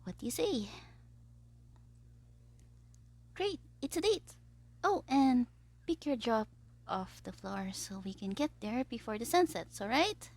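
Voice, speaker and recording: A woman's voice: fairly high pitch, sing-song, moderate pace. A woman playing a smug, theatrical young supervillain who schemes playfully in an audio roleplay diary. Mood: feigned charm. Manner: theatrical, playful, self-satisfied, drifting into conspiratorial whispers.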